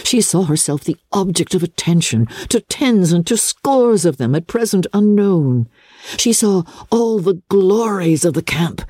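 Female narrator for classic fiction: mellow, husky, richly varied pitch, deliberate storytelling. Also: dry recording, clean studio sound. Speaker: narrator of classic literature readings. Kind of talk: dramatic audiobook narration.

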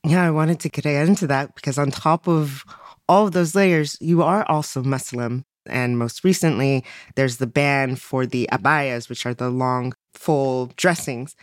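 A woman's voice: very deep voice, a little slow, no room sound, studio quality. A woman guest-hosting an interview, asking conversational questions and setting up context for her guest. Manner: conversational, affirming, leads with context. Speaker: guest host.

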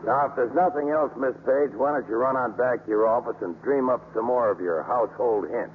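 An American actor playing a gruff, sardonic baseball manager in a 1950s radio drama. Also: male voice, medium pitch, brisk, close to an everyday voice. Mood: dry, curt. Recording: muffled, noisy 1950s radio broadcast.

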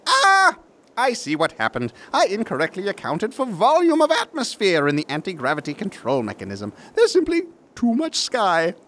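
Grandiose, high-pitched mad scientist. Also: male voice, huge pitch swings, fairly bright tone, slight background noise, exaggerated voice. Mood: blithely unbothered. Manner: pompous, theatrical, dramatic drawn-out phrases. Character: grandiose, oblivious mad scientist, male, giddy with his schemes. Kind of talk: audio drama acting.

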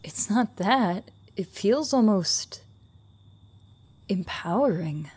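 A woman's voice: medium pitch, animated, slow, darkish tone, slightly rough, full character voice. Woman acting a plucky defiant teenage heroine. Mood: shaken. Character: plucky, defiant nineteen-year-old woman. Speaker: voice actor in a radio drama. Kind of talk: audio drama acting.

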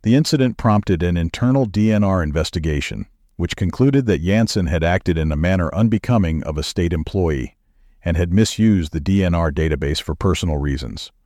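A man reading news stories as a podcast producer, with a measured, even newsreader delivery. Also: deep voice, dry recording, clean studio sound.

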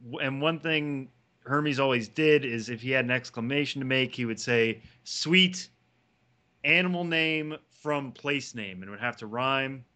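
A man's voice: mid-range voice, unhurried, pushed and bright. Hesitant man stalling on a podcast. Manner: hesitant and self-deprecating, stalls with restarts. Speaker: podcast co-host. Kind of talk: casual podcast chat.

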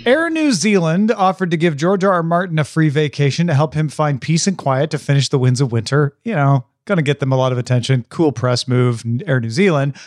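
A man with a fairly high-pitched voice chats casually as a podcast co-host. His manner is playful and bantering, and the sound is dry, with no room around it. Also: fairly quick, hardly any pauses, dry recording. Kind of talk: casual chat.

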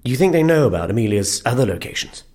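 A man's voice: medium pitch, big pitch swings, fast talker, darkish tone, lightly acted. A man playing a puzzled, sceptical investigator in an audio drama, asking doubtful questions.

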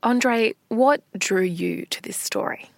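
A woman hosting a news podcast, presenting clearly and steadily. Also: fairly high pitch, slow pace, faint music behind. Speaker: podcast host.

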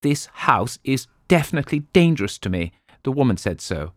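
A man voicing a fretful, self-pitying wife who plays the invalid, in a shrill, plaintive, theatrical way. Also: sing-song, a little fast, no room sound, clean studio sound, lightly acted.

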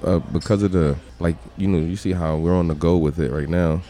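A man with a deep voice, a guest musician in a podcast interview at a crowded restaurant, talking in laid-back slang and swearing casually. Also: fairly even intonation, fairly quick, dark tone.

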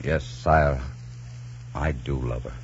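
A man's voice: a bass voice, fairly even intonation, slow pace, slight background noise, exaggerated voice. An actor playing a bold buccaneer captain in a 1940s radio drama, earnest, declamatory and deferential to his king. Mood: reluctant, confessing. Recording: muffled old 1940s radio broadcast.

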